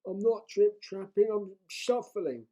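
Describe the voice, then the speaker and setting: A man voicing a simple, hungry male troll who talks in a small, timid voice. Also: warm tone. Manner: small high voice, drawn-out.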